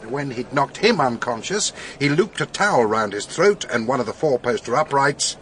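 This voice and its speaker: Actor as brisk British police detective, lively intonation. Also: male voice, mid-range voice, slight background noise, close to an everyday voice. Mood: brisk explaining. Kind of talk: radio drama acting.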